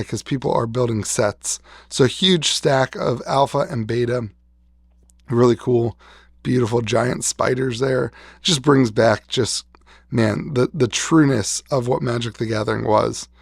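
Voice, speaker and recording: A man with a gravelly, fried tone, his voice worn down and half lost after an illness. He rambles through a casual vlog, restarting sentences and getting excited over old cards. The sound is dry and close, with no room echo. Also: mid-range voice. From West Virginia. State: losing his voice after being sick. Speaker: card shop owner showing a collection. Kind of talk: casual show-and-tell vlog.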